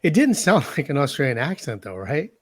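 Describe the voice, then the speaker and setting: A man's voice: on the high side, sing-song, moderate pace. A man co-hosting a casual podcast, talking loosely and easygoing with many fillers. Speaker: podcast co-host.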